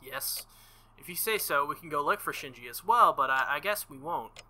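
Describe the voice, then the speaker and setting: A man's voice: fairly high pitch, animated, normal speed, pushed and bright. A man streaming a visual novel, reading its dialogue aloud and adding playful, jokey commentary, sometimes stumbling and rereading. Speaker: video game streamer.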